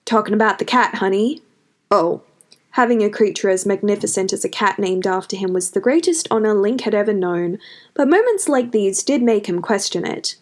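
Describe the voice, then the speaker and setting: A woman reading scripted fiction as an expressive storyteller, with a medium-pitched voice. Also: fairly quick. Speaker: narrator. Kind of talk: scripted fan fiction narration.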